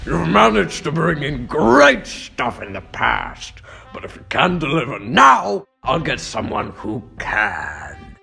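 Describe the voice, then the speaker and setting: A man acting out a blustering, short-tempered middle-aged newspaper boss for a comic dub, gruff and barking, with a gravelly voice. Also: fairly high pitch, huge pitch swings, slow pace, bright, forceful tone, faint music behind, full character voice. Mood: gruff, impatient.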